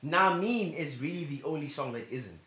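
A man's voice: fairly high pitch, expressive, normal speed, a bit bright. A young man co-hosting a podcast in casual banter, loose and laughing, trailing off with lots of fillers. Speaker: podcast co-host. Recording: roomy with faint hiss.

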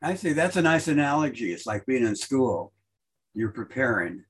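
A man leads a casual meditation group discussion. His voice is slightly husky, and he asks friendly questions, often restarting his sentences. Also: medium pitch, big pitch swings, normal speed.

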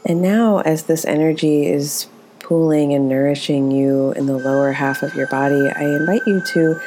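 A woman leading a guided meditation as its teacher, speaking in a gentle, soothing, unhurried way. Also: deep voice, faint music behind.

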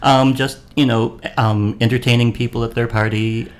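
A man from Maine chatting casually as a podcast guest host, unhurried and rambling with fillers. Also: medium pitch, level tone. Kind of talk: casual chat.

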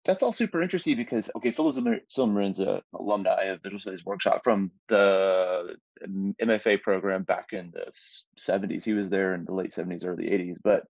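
A man with a very deep, gravelly voice hosts an interview and asks curious questions. He talks quickly and often corrects himself mid-phrase. The recording is dry and slightly dull. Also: darkish tone, dry recording. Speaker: interview host and press editor. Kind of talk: conversational interview.

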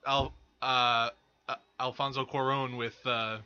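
Wisecracking man with a sharp, bright voice. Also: mid-range voice, flat delivery, unhurried, smooth, muffled recording. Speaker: podcast co-host. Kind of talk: casual film chat.

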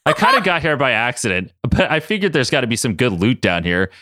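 A man's voice: mid-range voice, big pitch swings, brisk, pushed and bright, dry recording, studio quality. A man voicing a casual, nerdy woman artist who crawls dungeons in a story, breezy and blunt.

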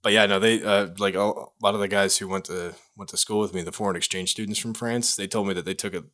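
A man from the Chicago area with an American accent talks as a podcast co-host. His voice sits a bit low. He explains things conversationally, circling back and repeating himself as he makes a point. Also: steady tone, fast, a bit bright.

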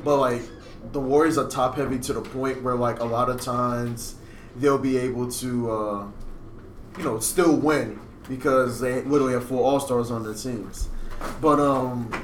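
A man's voice: mid-range voice, fairly even intonation, normal speed. A man co-hosting a podcast with casual sports talk in an echoey, slightly noisy recording. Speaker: podcast co-host. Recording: echoey room with faint noise.

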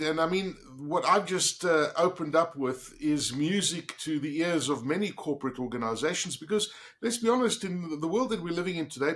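Man, interview host, enthusiastic presenter, fairly high pitch. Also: a bit bright. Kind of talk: business interview hosting.

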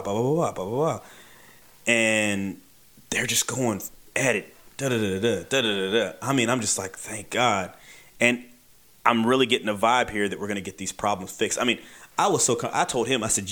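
A man casually telling a long workplace anecdote, acting out the dialogue with animated energy. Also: lowish voice. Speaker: beer delivery storyteller.

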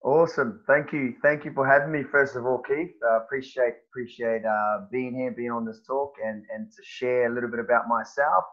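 A man, a guest on a podcast, talking in a halting, casual way with many fillers and restarts. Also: mid-range voice, steady tone, fairly bright tone, highs cut off. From New Zealand. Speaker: guest, personal trainer.

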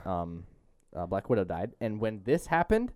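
A young man with a lowish, clean voice recaps a film as podcast host. He chats casually and gets bossy and exasperated with his co-hosts. The sound is close and dry. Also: very animated, moderate pace, a little mellow, no echo. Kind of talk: casual movie recap chat.

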